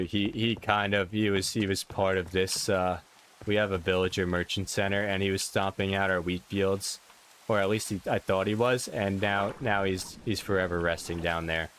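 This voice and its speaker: Deep-voiced New York guy streaming Minecraft. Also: slight background noise. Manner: rambling, self-interrupting, playful, jumps up in pitch for excited questions. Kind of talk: casual live-stream chat, reading viewer comments.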